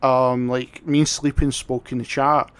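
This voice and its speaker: A man's voice: mid-range voice, flat delivery, measured pace, clear, resonant tone. A man hosting a casual livestream chat, tipsy from drinking, rambling along and swearing freely. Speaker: livestream host.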